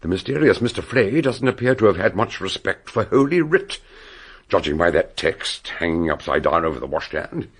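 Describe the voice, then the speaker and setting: An actor playing a shrewd, eccentric English detective doctor in a radio drama, speaking deliberately and theatrically in a gravelly, husky voice. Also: male voice, low-pitched, sing-song, heavily acted. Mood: wry, musing. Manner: deliberate, hesitant questioning, theatrical. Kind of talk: radio drama acting and narration.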